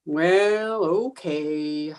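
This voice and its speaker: Woman acting a slow-paced, wisecracking, scatterbrained housewife. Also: mid-range voice, big pitch swings, a little mellow. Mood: tearful, overwhelmed. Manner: dry sarcasm, comic exasperation. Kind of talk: old-time radio sitcom acting. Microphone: home microphone over video call.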